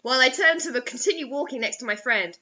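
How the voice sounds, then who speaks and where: Young female story reader, theatrical and mocking, bright animated delivery, slightly dull webcam audio. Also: sits high, fast talker. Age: young adult. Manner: expressive, theatrical. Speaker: host reading bad fiction aloud. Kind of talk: dramatic mocking story reading. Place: small indoor room.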